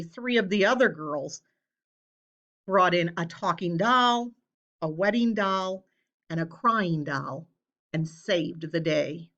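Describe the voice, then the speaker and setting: Woman reading a storybook at a slow pace. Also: medium pitch, fairly bright tone, lo-fi sound. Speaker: storybook reader. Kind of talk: children's read-aloud, scripted narration.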